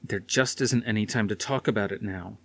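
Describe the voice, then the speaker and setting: A man's voice: lowish voice, fairly quick, gravelly, no echo. A man voicing a cool, exasperated career man with a controlled, dismissive manner in an audiobook. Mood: cool, impatient.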